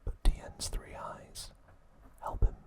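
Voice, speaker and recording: ASMR host, sex indistinct: breath-only whisper for scripted trivia narration, soft throughout. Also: slow.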